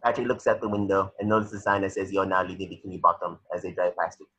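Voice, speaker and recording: Fast, raspy man reading stage directions. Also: sits low, level tone, sharp tone. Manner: matter-of-fact, stumbling over words. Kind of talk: reading a cartoon script aloud.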